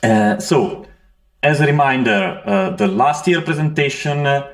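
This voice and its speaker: A man's voice: fairly high pitch, lively intonation, measured pace. A man from Italy with an Italian accent presenting at a conference, hesitant with many fillers. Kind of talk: conference talk.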